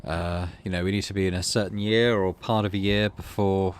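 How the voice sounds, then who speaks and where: A man's voice: low-pitched, fairly even intonation, fairly quick, slight background noise. A man hosting a gaming video, casually narrating and commenting as he thinks aloud. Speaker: gaming channel host.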